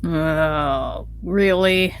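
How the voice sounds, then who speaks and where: A woman's voice: sits low, extremely slow. A woman playing a tabletop role-playing game, chatting in and out of character in a wry, wordy way. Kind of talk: casual in-character tabletop chat. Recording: clear music behind the voice. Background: background music.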